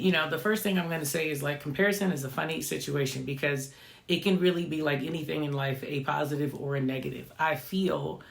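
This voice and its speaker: A woman of about fifty with a low voice she calls not feminine, hosting a reflective vlog and talking conversationally, often tagging lines with "right?". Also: gravelly. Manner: conversational, often adding "right?" tag questions. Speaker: channel host. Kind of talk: reflective motivational vlog talk.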